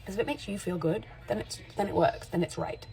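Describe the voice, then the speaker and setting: Candid, mellow-voiced woman in her forties. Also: medium pitch, fairly quick, rough recording. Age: 40s. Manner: candid, rambling, self-correcting. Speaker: makeup artist and creator. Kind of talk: casual chatty vlog.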